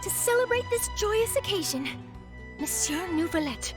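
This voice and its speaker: An actress voicing an eager young reporter who talks in a high, excitable way, with game music behind. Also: female voice, normal speed. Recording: game audio with background music.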